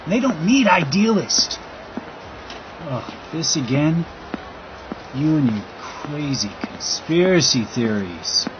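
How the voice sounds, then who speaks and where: Slangy conspiracy ranter played by a man, rambling and agitated, mid pitch that jumps around, muffled under heavy noise. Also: very animated, takes it slowly, long pauses. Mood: worked up. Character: ranting, crude, slangy man in a car arguing conspiracies. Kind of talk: scripted audio drama, casual dialogue. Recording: dull recording with loud background noise.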